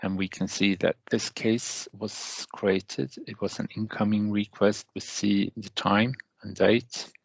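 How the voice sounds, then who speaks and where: A man narrating a step-by-step software tutorial as a trainer, with halting, deliberate phrasing and hesitant pauses. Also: on the low side, little pitch movement, gravelly, slightly rough, dry recording, poor audio.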